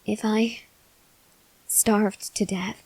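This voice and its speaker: A woman playing a weak, starving female vampire researcher in an ASMR roleplay, speaking softly and haltingly, often dropping to a whisper. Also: medium pitch, fairly even intonation, breathy edge, no echo, heavily acted. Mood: weary, desperate. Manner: slow, halting delivery with long pauses. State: weak, faint with hunger (acted). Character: starving female vampire researcher, weary, earnest, gently pleading. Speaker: voice actor. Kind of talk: ASMR roleplay, acted monologue to listener.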